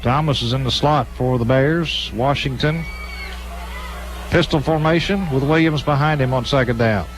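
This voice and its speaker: A man's voice: medium pitch, moderate pace, faint music behind, low-quality recording. A man announcing live football play-by-play on the radio in clipped, running phrases. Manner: clipped, present-tense running description. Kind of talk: live radio sports commentary.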